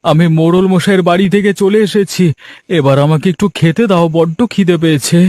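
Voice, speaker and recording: A man's voice: sits high, a little fast, darkish tone, no room sound, studio quality, close to an everyday voice. A man playing a humble, troubled village fish trader in a Bengali cartoon story, speaking in an earnest, plaintive way.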